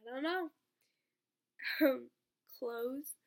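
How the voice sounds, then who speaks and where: Teen girl vlogger, under the weather, gravelly edge, chatty and rambling, slightly high. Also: very slow. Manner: rambling, lots of "like" and "um". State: sick, voice sounds off. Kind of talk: casual chat to camera.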